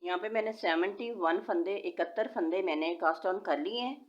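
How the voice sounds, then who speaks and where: Low-voiced woman with a sore throat, teaching knitting. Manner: patient, counts stitches aloud slowly. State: sore throat, voice slightly changed. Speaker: knitting tutorial host. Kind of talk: step-by-step knitting instruction. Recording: dull lo-fi home recording.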